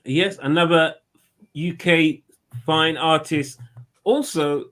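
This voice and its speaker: Upbeat man, slightly high voice. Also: slow, a bit bright. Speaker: podcast co-host. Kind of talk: show announcements.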